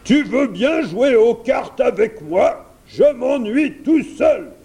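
A man's voice: very high voice, expressive, slow, dark tone, pitched above the performer's own voice, full character voice. A man voicing a grumpy, bored, princess-eating dragon in a children's storybook, roaring and complaining.

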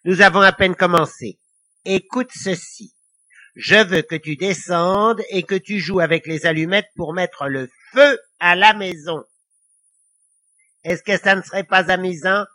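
A man's voice: high-pitched, measured pace, pushed and bright, pitched above the performer's own voice. A man voicing a mischievous, boastful ancient little devil in a children's story, with a sneering, theatrical manner. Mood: gleeful, taunting. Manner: sneering, theatrical, cackling taunts. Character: mischievous, boastful five-thousand-year-old little devil, male.

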